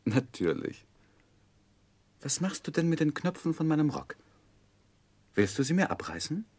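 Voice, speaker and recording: An actor playing an earnest, tender gentleman who narrates his life in a gentle, reflective, expressive way in a 1960s German radio play. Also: fairly high pitch, measured pace, slightly rough, no echo, exaggerated voice. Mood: playful, loving. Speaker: first-person narrator and lead.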